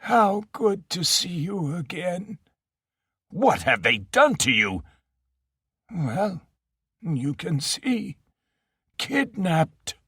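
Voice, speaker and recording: Frail terrified old man, quavering and high, done by a male narrator. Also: sing-song, very slow, long pauses, bright, forceful tone, husky, dry recording, clean studio sound, exaggerated voice. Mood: frail, sad. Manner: high, pleading, near-hysterical.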